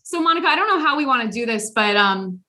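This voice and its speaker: A woman co-hosting a podcast in a casual chat, animated, laughing often and talking with many fillers. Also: a little high, quick pace. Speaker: podcast co-host.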